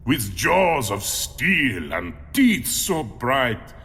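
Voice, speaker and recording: A man's voice: mid-range voice, very animated, very slow, bright, forceful tone, exaggerated voice. Man playing a grandiose cruel carnival showman in cavernous reverb. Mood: grand, boasting. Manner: grandiose, taunting proclamations. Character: cruel showman, theatrical carnival barker with a whip. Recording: long hall reverb.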